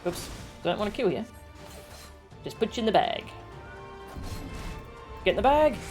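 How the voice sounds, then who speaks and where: A woman's voice: mid-range voice, big pitch swings, measured pace, darkish tone. A woman streaming a video game, giving casual, playful, joking commentary as she plays. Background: music.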